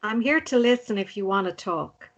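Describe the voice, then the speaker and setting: A woman's voice: mid-range voice, lively intonation, normal speed. A woman playing a caring, concerned mother in a table read of a drama. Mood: tender. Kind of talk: acted screenplay table read. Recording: dull, lo-fi microphone sound.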